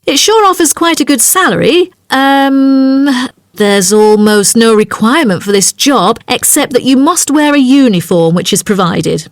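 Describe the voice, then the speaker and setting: A woman's voice: on the high side, expressive, measured pace, no echo, studio quality. A woman playing a polite, helpful job centre agent in a scripted language-test dialogue, courteous and businesslike.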